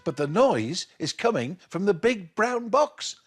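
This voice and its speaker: A good-natured burglar from a children's storybook, acted by a man. He is folksy and exclamatory, with rough speech. His pitch is raised and lively, and he draws his words out. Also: measured pace, heavily acted. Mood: startled.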